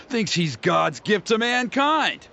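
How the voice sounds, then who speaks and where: Dramatic middle-aged dad from a tribe, gossipy and theatrical, really animated up-and-down voice. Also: male voice, high-pitched, drawn-out words, vocal fry, a little hiss or hum, full character voice. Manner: dramatic storytelling, gossipy grumbling.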